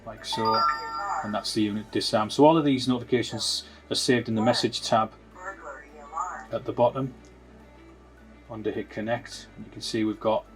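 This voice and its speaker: A man's voice: on the low side, fairly even intonation, normal speed, long pauses, faint music behind. A man presenting a product demonstration, explaining it informally step by step as a technical tutorial.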